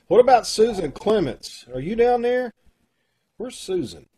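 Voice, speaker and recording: Middle-aged male host, slightly high voice, informal chatty introductions on a video call. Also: sing-song, measured pace, dark tone, husky. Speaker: host of an amateur radio-drama troupe. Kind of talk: casual chat, introducing cast and show. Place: indoor room at home, video call. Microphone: video call microphone.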